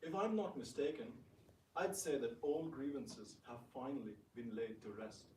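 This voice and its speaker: A man playing a genial, trusting elder prince, measured and courteous, in a theatrical reading. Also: mid-range voice, expressive, darkish tone, a little hiss or hum, lightly acted. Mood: explaining gently. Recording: echoey room, distant microphone.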